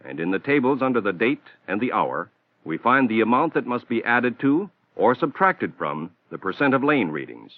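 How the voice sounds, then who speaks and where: Man, scripted training-film narrator, lively pitch, tinny narrow old soundtrack. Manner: measured, instructional.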